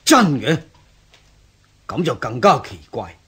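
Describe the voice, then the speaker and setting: Radio drama bandit leader: extremely rough voice, slow but animated, wary, blunt, Hong Kong Cantonese. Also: male voice, mid-range voice, heavily acted. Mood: puzzled, wary. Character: gruff, wary male bandit chief in a mountain stronghold.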